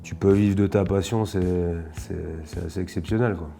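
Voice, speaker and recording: A man's voice: low voice, fairly even intonation, normal speed, gravelly, mellow, slight background noise. A man in his thirties from northern France, a professional rugby player answering interview questions casually, with many fillers and restarts.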